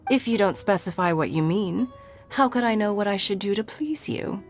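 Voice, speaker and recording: A woman plays a poised, sly noblewoman from a video game. She speaks formally, teasing in an arch way, at a medium pitch. Music sits behind her voice. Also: lively intonation, normal speed, close to an everyday voice. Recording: music under the voice.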